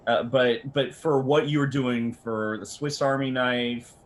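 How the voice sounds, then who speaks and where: A man's voice: mid-range voice, fairly even intonation, slow pace. A man giving casual, conversational expert advice as a guest on a video call. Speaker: camera company representative, guest expert. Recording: slightly noisy video call.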